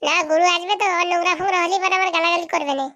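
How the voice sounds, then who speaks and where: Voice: extremely high pitch, steady tone, racing, pushed and bright. A performer voicing an eager, fawning young village boy who trails after his boss in a comic cartoon. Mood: chatty. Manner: deferential, chatty, fawning.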